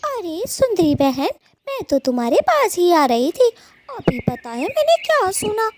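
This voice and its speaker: A woman's voice: very high-pitched, big pitch swings, measured pace, background noise, voice pushed up, full character voice. A woman voicing a bossy, gossiping female cartoon bird with a scolding, dramatic, chatty delivery. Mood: gossipy. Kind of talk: children's moral-story cartoon dubbing.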